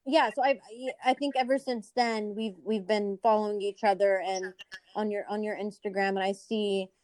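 A woman's voice: medium pitch, steady tone, moderate pace, a little mellow, dry recording. A woman hosting a casual interview, speaking in an informal, effusive way with lots of conversational filler. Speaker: interview host.

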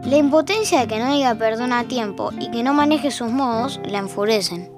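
Higher-voiced Argentine woman narrating fiction. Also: expressive, brisk, over music. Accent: Rioplatense Argentine.

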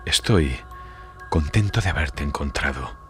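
A man's voice: a bass voice, lively intonation, unhurried, fairly bright tone, soft background music, pitched below the performer's own voice, exaggerated voice. A man voicing a frightened, grieving, weary old grandfather in a horror audiobook. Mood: frightened, feigned joy.

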